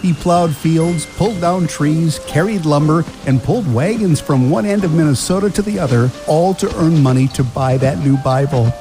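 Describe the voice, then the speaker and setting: Male narrator, fairly high pitch, storybook delivery, scripted family radio drama, background music. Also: moderate pace, few pauses, poor audio.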